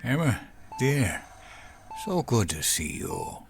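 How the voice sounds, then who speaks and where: A man playing a gentle, dying old grandfather in an audio drama, halting and tender, with a creaky voice. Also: mid-range voice, wildly swooping pitch, over music, full character voice. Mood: tender, resigned.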